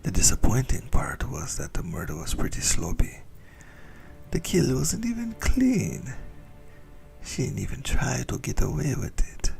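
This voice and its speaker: A man voicing a possessive, centuries-old vampire lover in a whispered ASMR roleplay, smugly menacing and intimate, with a breathy, gravelly delivery. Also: medium pitch, huge pitch swings, unhurried, a little mellow, soft background music, heavily acted. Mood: bored, disdainful.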